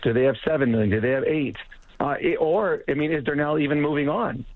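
Hockey guy on the phone, bit rough voice, tinny line, rambles along. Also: on the high side, fast talker, dry recording. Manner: rambling, restarts, laughs easily. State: slightly rough voice. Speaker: radio analyst guest. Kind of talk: phone-in sports chat.

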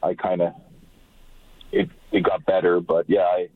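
A man whose first language is German, talking casually as a caller with halting restarts. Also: sits low, level tone, no echo. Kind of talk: casual phone chat. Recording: tinny phone line.

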